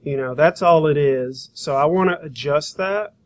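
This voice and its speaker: A man teaching a software demonstration, talking informally and thinking aloud as he works through each step. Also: fairly high pitch, normal speed. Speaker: graphic design instructor.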